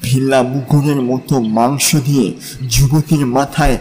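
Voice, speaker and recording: A man's voice: mid-range voice, steady tone, a little slow, a little mellow. A man narrating fiction in a dramatic, scripted audiobook style.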